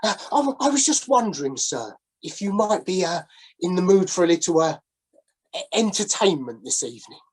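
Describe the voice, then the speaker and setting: A woman's voice: lowish voice, sing-song, moderate pace, a little mellow, breathy edge, voice pushed up. A woman voicing a shabby, down-on-his-luck male ex-sailor who coaxes and wheedles. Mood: wheedling.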